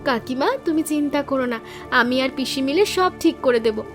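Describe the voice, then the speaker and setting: A woman playing a sweet, sentimental young village niece in an animated story, earnest and girlish. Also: high-pitched, normal speed, over music. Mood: eager, helpful.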